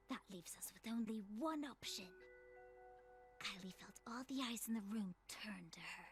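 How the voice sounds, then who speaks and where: A high, slow-paced girl reads a fantasy tale aloud in a video game. She is theatrical and suspenseful, relishing the drama with animated swings of pitch over music. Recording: music under the voice.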